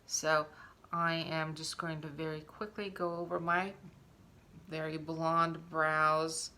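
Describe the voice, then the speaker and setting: A woman presents a casual makeup tutorial in a bright, forward voice. Her pitch sits a little low and her pace is unhurried. She talks through each step instructively while demonstrating it. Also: level tone, slight background noise.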